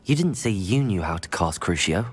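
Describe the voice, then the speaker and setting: Low-voiced, composed young wizard student. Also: male voice, big pitch swings, moderate pace, breathy edge, heavily acted. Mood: serious, resolute. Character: calm, persuasive teenage male wizard student, curious and determined. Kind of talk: video game cutscene voice acting. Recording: game dialogue over background music.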